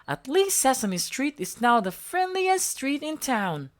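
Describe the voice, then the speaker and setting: Woman voicing a high-pitched, guarded young girl. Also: sing-song, a little slow. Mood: wistful.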